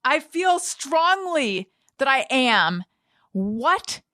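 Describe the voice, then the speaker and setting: A woman podcast host in casual conversation, with a very animated pitch that moves up and down. The sound is close and dry, and she talks informally, often working out her thought as she speaks. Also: drawn-out words, dry recording.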